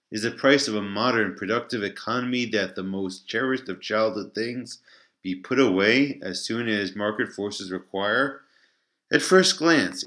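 A man's voice: on the low side, a bit bright. A man reading his own written article as a scripted narration.

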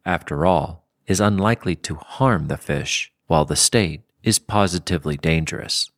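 A man reading an essay aloud as scripted narration, in a measured, deliberate way. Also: fairly low pitch, no room sound, studio quality. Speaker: audiobook-style reader of a political essay.

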